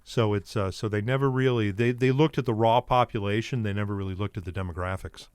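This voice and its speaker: A man's voice: on the low side, quick pace, clear, resonant tone, no room sound. An older man, a guest comedian, telling rambling stories in a casual podcast chat with lots of fillers and restarts.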